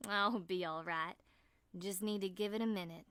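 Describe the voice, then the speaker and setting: A woman voicing a friendly, easygoing woman in her thirties with a honey-smooth Southern American accent, in an audiobook story. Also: medium pitch, normal speed, no room sound, lightly acted. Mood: warm, amused. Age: mid-thirties. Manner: relaxed, folksy.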